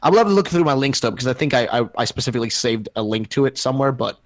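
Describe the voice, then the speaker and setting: A man's voice: medium pitch, expressive, very fast, dry recording. A man from Bosnia with a shifting, mixed English accent, rambling and swearing in a casual podcast chat. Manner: rambling, swearing, self-interrupting. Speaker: podcast host.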